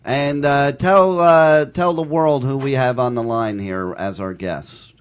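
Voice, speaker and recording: A man's voice: medium pitch, measured pace, a little hiss or hum. A man hosting a talk radio show, talking in a loose, upbeat way with many fillers.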